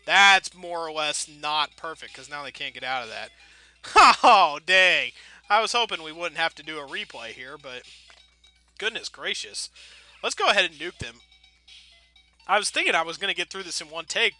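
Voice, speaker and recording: A man's voice: a little high, sharp tone. A man giving casual, rambling commentary as he plays a game, playful and full of folksy mild exclamations. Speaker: gameplay video host.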